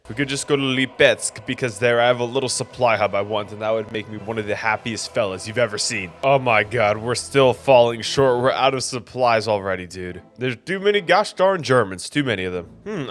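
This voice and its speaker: Excitable young man doing game commentary. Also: mid-range voice. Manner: excitable, joking, slangy. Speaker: gaming YouTuber. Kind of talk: casual gameplay commentary. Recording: faint background music.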